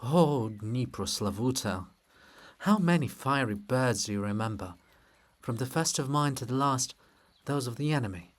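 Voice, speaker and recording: A man's voice: medium pitch, animated, moderate pace, natural voice. A man voicing a solemn, brooding ancient princess. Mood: solemn, mournful. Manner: declamatory, lyrical, weighty. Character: Princess Olha monument, ancient woman, solemn, brooding, proud.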